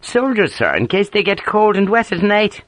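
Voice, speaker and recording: A male narrator voicing a wry army sergeant with an Ulster accent, deadpan and dryly amused. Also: sits high, moderate pace, no room sound, voice pushed up.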